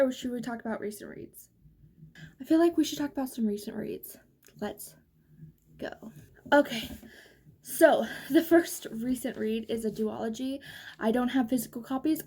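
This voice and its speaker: A woman's voice: on the high side, mellow, slight background noise. A woman book vlogger talking casually and emphatically straight to her viewers, in an informal, gushing way. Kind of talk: casual chatty book review to camera.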